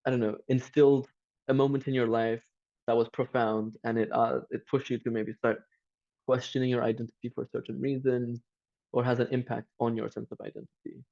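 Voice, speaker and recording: A man's voice: medium pitch, little pitch movement, brisk, gravelly, dark tone. A young man whose first language is Turkish hosts a panel talk, speaking earnestly and working his thoughts out aloud with plenty of "like" and restarts. His voice sits in an ordinary middle range, and the recording sounds a little dull and lo-fi. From Cyprus. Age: young adult. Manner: earnest, searching, many fillers and restarts. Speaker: podcast panel moderator. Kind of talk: casual panel discussion. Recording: slightly dull lo-fi audio.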